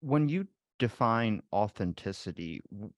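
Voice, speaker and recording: A man's voice: fairly low pitch, animated, measured pace, dry recording, clean studio sound. A man hosting a podcast who rambles and restarts his sentences, joking at his own expense as he asks questions. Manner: rambling, self-deprecating, many restarts. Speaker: podcast host. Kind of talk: casual interview chat.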